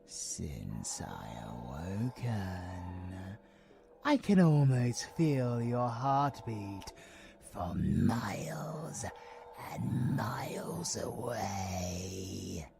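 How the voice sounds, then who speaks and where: Casting: a predatory inhuman villain for ASMR drama; extremely slow, gravelly creak, mocking menace, breathy whispers, music underneath. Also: male voice, low-pitched, big pitch swings, full character voice. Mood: cold menace. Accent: British. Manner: slow, theatrical, drawn-out phrasing with breathy whispers. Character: predatory male vampiric abomination, menacing, mocking, savoring. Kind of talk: villain ASMR audio roleplay.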